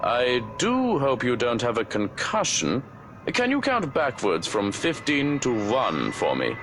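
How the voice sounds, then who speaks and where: A man's voice: mid-range voice, wildly swooping pitch, measured pace, music in the background. A man voicing a stern, dry, protective servant in a visual novel, speaking formally and precisely with a mild scolding tone. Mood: dry, chiding. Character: stern, dry, protective male servant to a troubled young master. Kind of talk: visual novel character acting.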